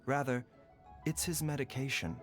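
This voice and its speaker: A man playing a learned, gentle and composed doctor in a fantasy game, speaking in a measured, clinical manner. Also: medium pitch, fairly even intonation, faint music behind, natural voice. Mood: grave, explanatory. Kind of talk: animated fantasy game dialogue.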